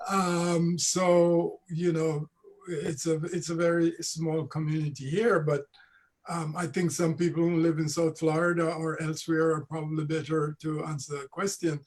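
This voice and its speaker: A man's voice: fairly high pitch, level tone, moderate pace. A man on a panel over a video call, speaking hesitantly with many fillers. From United States.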